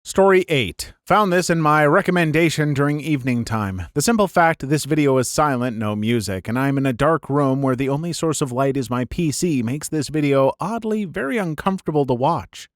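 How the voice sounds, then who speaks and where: A man's voice: a little high, normal speed, hardly any pauses, no room sound, studio quality. A man narrating a storytelling channel, reading viewer comments aloud with a soothing voice and chatty, self-mocking asides. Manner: lively, laughs at himself in the asides.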